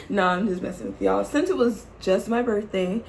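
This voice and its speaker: Playful gravelly-voiced young woman vlogger. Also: mid-range voice, lively intonation, moderate pace, a little mellow, slight background noise. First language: English. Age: 20s. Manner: playful, teasing, laughs often; chatty, addresses viewers as y'all. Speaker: YouTube vlogger. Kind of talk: casual chat to camera.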